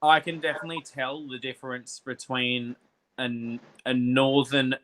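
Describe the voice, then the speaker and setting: A man's voice: medium pitch, level tone, measured pace, a bit bright, low-quality recording. A man from Australia with an accent between British and Australian, chatting casually as a livestream host and laughing easily. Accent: mix of British and Australian. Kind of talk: casual chat.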